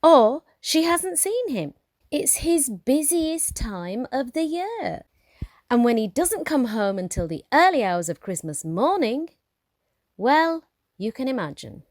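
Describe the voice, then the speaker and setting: A woman's voice: high voice, sing-song, takes it slowly, darkish tone, no room sound, clean studio sound, heavily acted. A woman playing a sarcastic, exasperated workshop supervisor in a comic radio play. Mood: exasperated, sly. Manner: sarcastic, scolding.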